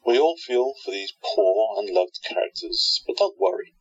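A man's voice: mid-range voice, huge pitch swings, normal speed, gravelly, husky, dry recording. A man narrating a scripted radio play as its storyteller. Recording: slightly dull, lo-fi sound.

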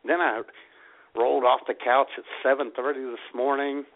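A man's voice: medium pitch, level tone, normal speed, vocal fry. An American man co-hosting a radio show with casual, irreverent comedic banter and frequent exclamations. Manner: irreverent, mildly profane, exclamatory. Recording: tinny band-limited radio sound.

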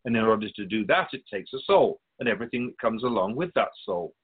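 A man playing a patient, all-knowing keeper of souls in a radio drama, explaining things in a measured, gently wry way. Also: lowish voice, slightly rough, phone-quality sound. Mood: patient, explanatory. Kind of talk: scripted radio drama dialogue.

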